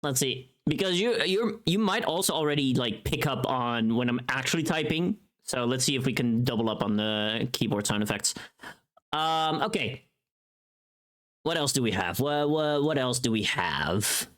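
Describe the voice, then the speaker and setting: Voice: mid-range voice, expressive, moderate pace, fairly bright tone. A streamer of unclear sex chatting casually to a live audience and thinking aloud while working. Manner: thinking aloud, humming little tunes between remarks. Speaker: streamer and voice performer. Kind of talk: casual livestream chat.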